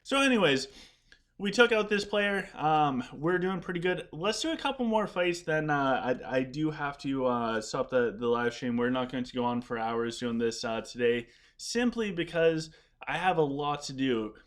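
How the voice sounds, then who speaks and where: A man's voice: a little high, expressive, normal speed, fairly bright tone. A man commentating his game live and answering viewer questions, chatty and rambling, with his voice starting to go. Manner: chatty, rambling, frequent restarts while playing. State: losing his voice. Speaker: gaming live streamer. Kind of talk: casual live-stream commentary.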